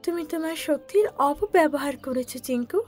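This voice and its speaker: A woman's voice: high-pitched, fairly quick, a little mellow, soft background music, pitched above the performer's own voice, lightly acted. A woman voicing a kindly, admonishing blue fairy who speaks instructively. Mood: gently admonishing.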